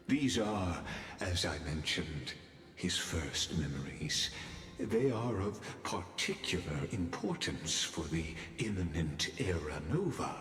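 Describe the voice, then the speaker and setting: A deep, slow, cryptic guide. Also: male voice, a bit bright, full character voice. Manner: slow, measured, riddling delivery. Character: cryptic, solemn male guide of fate. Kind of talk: game cutscene voice acting. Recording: roomy reverb, faint game music.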